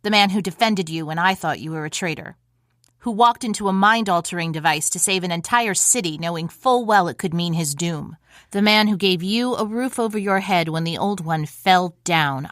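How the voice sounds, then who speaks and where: A woman's voice: on the low side, normal speed, a bit bright, no echo, clean studio sound, natural voice. A woman playing a principled, reproachful agent, earnest and pointed, in a comedic audio drama.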